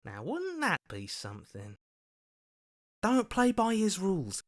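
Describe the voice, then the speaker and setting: Cynical, swooping, suspicious inner voice. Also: male voice, sits high, huge pitch swings, unhurried, full character voice. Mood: bitter, suspicious. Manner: bitter, sarcastic, distrustful. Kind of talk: scripted game voice acting.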